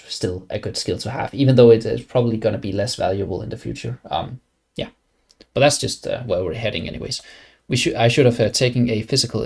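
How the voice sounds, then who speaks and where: A man's voice: medium pitch, vocal fry, darkish tone, husky. A young man from Denmark with a Danish accent, chatting casually on a game live stream and rambling with many fillers and restarts. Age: young adult.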